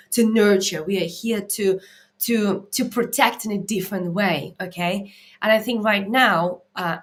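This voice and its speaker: A woman with an Eastern European accent speaks at a medium pitch in an unscripted live talk. She is earnest and emphatic, like a coach answering viewers' questions. She punctuates her points with short check-in words. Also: fairly bright tone. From Latvia. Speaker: mindset coach and live Q&A host.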